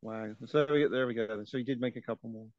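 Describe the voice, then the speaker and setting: A man's voice: mid-range voice, fairly even intonation, fast talker, dry recording. A man co-hosting a casual film podcast who laughs often and trails off into fillers. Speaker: podcast co-host. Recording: dry, dull lo-fi sound.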